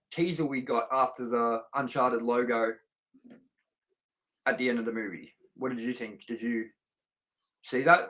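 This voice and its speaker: A man's voice: medium pitch, fairly bright tone, rough recording. A man co-hosting a film review show, chatting casually and enthusiastically with informal, sweary language.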